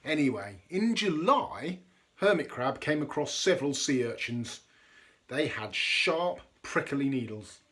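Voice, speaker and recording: Animated man reading a children's storybook. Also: medium pitch. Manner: dramatic pauses and emphasis for young listeners. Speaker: storybook reader. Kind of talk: expressive children's read-aloud with chatty asides.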